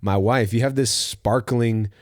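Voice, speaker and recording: A man, a pastor, hosting a casual conversational podcast, relaxed and thoughtful, with his phrases often trailing off softly. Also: medium pitch, a little slow, dry recording, studio quality.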